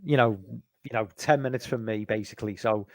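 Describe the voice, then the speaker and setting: A man's voice: on the low side, a little fast, a little mellow, dry recording. Rambling Liverpool man in his forties. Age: 40s. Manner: rambling, repeats and restarts words. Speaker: stream host. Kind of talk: casual chat.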